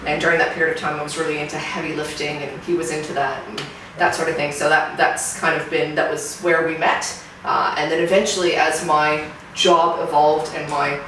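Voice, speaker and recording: A low-pitched, middle-aged woman with a Canadian accent speaks reflectively, often restarting her sentences. She is recorded from a distance in a very echoey room. Steady background noise sits under her voice. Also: a little fast. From Singapore. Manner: thoughtful, reflective, with frequent restarts. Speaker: interviewee, fitness client. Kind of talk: casual interview testimonial.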